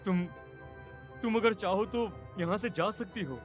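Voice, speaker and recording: Man acting a high-voiced, earnest young husband. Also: measured pace. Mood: remorseful. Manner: earnest, dramatic. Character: remorseful husband confessing, grave and earnest. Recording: music behind the voice.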